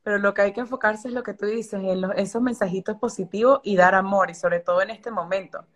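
A woman interviewer with a mid-range voice. She keeps up supportive, affirming interjections and asks her questions calmly. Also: medium pitch, a little fast. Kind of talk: conversational interview.

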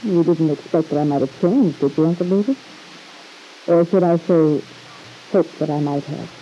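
A woman's voice: deep voice, moderate pace, warm tone, lightly acted. An actress playing a flippant, defensive young woman with an arch, ironic manner in an old-time radio soap opera. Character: flippant, defensive young wife and mother, a niece. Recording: muffled hissy old radio recording.

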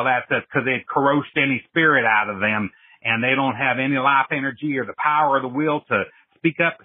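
A man's voice: medium pitch, husky, no echo, lightly acted. A man voicing a brash, boastful businessman with an American Southern accent and folksy turns of phrase, in a comic phone-in monologue on a tinny line. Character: brash swaggering Japanese businessman raised American, bragging.

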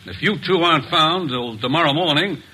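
A cornered cold-blooded killer. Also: male voice, fairly high pitch, moderate pace, sharp tone, slightly rough, poor audio. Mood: cold, threatening. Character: cornered male murderer, cold and calculating. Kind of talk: 1950s radio drama acting.

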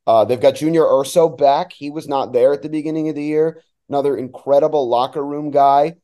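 A man's voice: fairly high pitch, fairly even intonation, a little fast, mellow. An American man from New Jersey giving casual sports analysis as a guest, often restarting words as he thinks aloud. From New Jersey, United States. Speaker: radio play-by-play announcer, guest.

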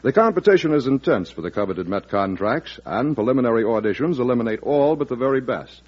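A male radio announcer reads a scripted promotion in a polished, formal vintage style. His voice is husky and lively in pitch, on a muffled old broadcast. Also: fast talker.

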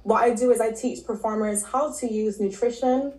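A woman's voice: fairly high pitch, steady tone, normal speed, a little mellow. Woman telling her story in an echoey room. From Barbados. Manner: storytelling, many ums and likes. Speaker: podcast guest, nutrition and health coach. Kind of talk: casual interview talk.

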